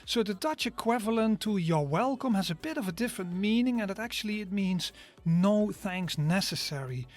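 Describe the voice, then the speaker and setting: Man teaching a slow, careful lesson. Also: sits high, expressive. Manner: slow, careful explanations with drawn-out example words. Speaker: language teacher. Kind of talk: scripted online course lesson.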